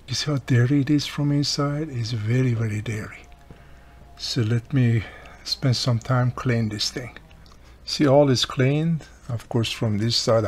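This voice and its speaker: A man's voice: medium pitch, a little hiss or hum. A man at a workbench patiently explaining a repair step by step, with slightly non-native phrasing, recorded by an overhead camera mic. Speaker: radio repair technician. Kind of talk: hands-on repair tutorial. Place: workbench, indoors.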